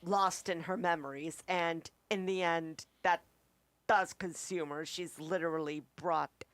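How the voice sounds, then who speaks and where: A woman co-hosts a casual review chat, giving her take on what she has watched. Her voice sits slightly low for a woman. The recording is dry, with hardly any room sound. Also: fairly even intonation, measured pace, no echo. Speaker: co-host reviewer.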